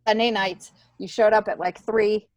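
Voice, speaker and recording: A middle-aged American woman telling stories casually as a guest, in a halting, animated way that often restarts sentences. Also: medium pitch, no room sound, muffled recording. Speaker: podcast guest, stand-up comedian. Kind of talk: casual conversational storytelling.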